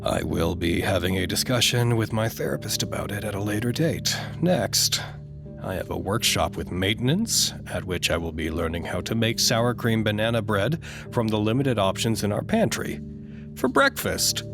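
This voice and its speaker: An actor playing a fussy, self-important, easily wounded doctor in an audio drama, with a pompous, theatrical delivery. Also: male voice, fairly low pitch, big pitch swings, moderate pace, creaky, over music. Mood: weary, sullen. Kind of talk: dramatic monologue, audio log.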